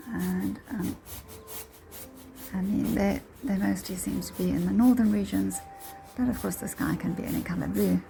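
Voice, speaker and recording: Croaky lady doing a casual painting how-to, soft dark tone w some fry, kinda thinking out loud. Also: medium pitch, slight background noise. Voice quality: slightly croaky. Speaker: painting tutorial host. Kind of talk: casual step-by-step painting commentary. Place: indoors, home painting room.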